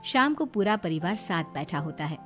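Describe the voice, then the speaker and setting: A woman's voice: fairly low pitch, big pitch swings, normal speed, faint music behind. A woman reading scripted narration for a family moral story, as a storyteller.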